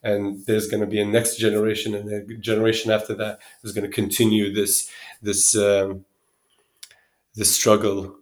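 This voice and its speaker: Low-pitched, even-toned man in his thirties. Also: normal speed. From Sweden. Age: 30s. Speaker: interview guest. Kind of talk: reflective interview talk.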